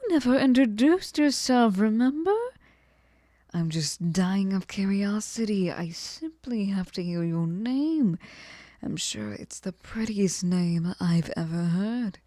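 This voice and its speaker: Woman as obsessive sweet fairy for ASMR roleplay: soft mellow tone, unhurried, coaxing and whispery, close and dry. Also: medium pitch, lively intonation, no room sound. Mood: playful, pressing. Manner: breathy cooing, often dropping into whispers, coaxing. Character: sweet, clingy, possessive female fairy hostess, lonely and obsessive. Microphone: close microphone, dry sound.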